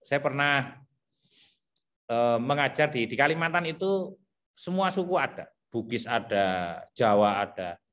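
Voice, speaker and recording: A man's voice: medium pitch, animated, a little slow, fairly bright tone. Man with a thick Javanese accent. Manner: repetitive, thinks aloud with many fillers. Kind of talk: explaining teacher competency criteria to colleagues.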